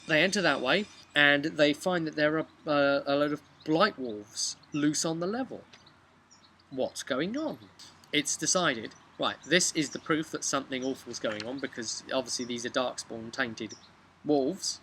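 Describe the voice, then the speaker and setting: A young man casually recapping a tabletop game as game master, halting at times and emphatic, recorded outdoors. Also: on the high side, pushed and bright. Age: young adult. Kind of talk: casual vlog storytelling. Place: outdoors on a bench by a brick house. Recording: outdoor webcam with background noise.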